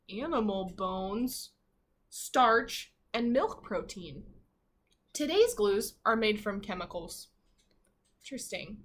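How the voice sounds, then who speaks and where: Woman teacher with a lively, swooping read-aloud voice. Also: a little high. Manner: expressive, asks the children questions directly. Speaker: primary school teacher reading aloud to pupils. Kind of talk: animated read-aloud of a children's picture book with asides.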